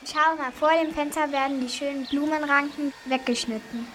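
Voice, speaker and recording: A woman's voice: sits high, fairly even intonation, moderate pace, fairly bright tone, slight background noise. A female performer playing an eager, adventurous schoolgirl in an amateur radio play for children. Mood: curious.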